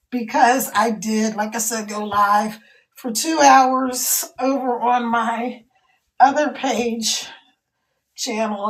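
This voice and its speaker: An older woman with a scratchy, hoarse voice, chatting folksily to viewers of her phone live stream. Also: fairly high pitch. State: hoarse after two hours of talking. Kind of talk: casual live-stream chat.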